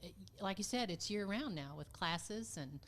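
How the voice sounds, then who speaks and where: A woman's voice: mid-range voice, animated, normal speed. A woman talking casually as an interview guest representing a community theater.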